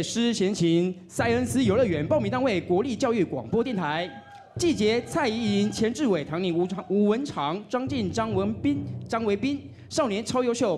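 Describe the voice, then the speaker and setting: A man's voice: sits high, lively intonation, normal speed, darkish tone, a little hiss or hum. A man with a Taiwanese Mandarin accent presenting on stage as a radio DJ, playful and deferential in his banter. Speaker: radio DJ presenting award nominations. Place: on stage at an awards announcement event.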